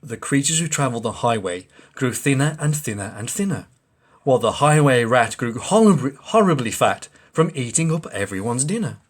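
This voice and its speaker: Animated, husky man reading a bedtime story. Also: medium pitch, moderate pace. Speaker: father reading a bedtime story to his child. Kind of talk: expressive rhyming storybook narration.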